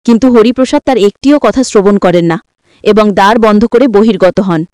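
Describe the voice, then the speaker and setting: A woman's voice: medium pitch, normal speed, darkish tone, dry recording, clean studio sound. A woman narrating a scripted moral story in formal, literary wording, as a dramatic storyteller.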